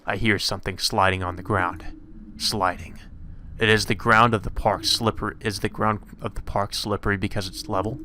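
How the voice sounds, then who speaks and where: A man's voice: fairly low pitch, little pitch movement, moderate pace, pushed and bright, slight background noise. A man reading a visual novel aloud as narration, in the manner of a let's play host.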